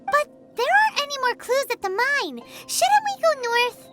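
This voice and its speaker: A woman voicing a small, excitable, chatty fairy girl companion in a video game, with a childlike, exclamatory manner. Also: very high voice, moderate pace, faint music behind, full character voice. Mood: curious.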